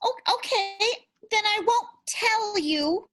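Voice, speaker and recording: A woman's voice: very high voice, slow pace, a bit bright, heavily acted. A woman playing a naive, chipper young vaudeville darling, bubbly and guileless, in an improvised period radio soap. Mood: earnest, chipper.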